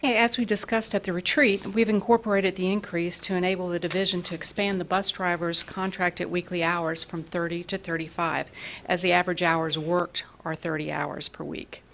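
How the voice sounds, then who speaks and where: Woman, lowish voice, businesslike, reads budget numbers at a board meeting. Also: fairly even intonation, normal speed, creaky, hardly any pauses, fairly bright tone, a little hiss or hum. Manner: businesslike, reads figures, corrects herself. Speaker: school finance officer. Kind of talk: budget presentation at a public meeting. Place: school board meeting room.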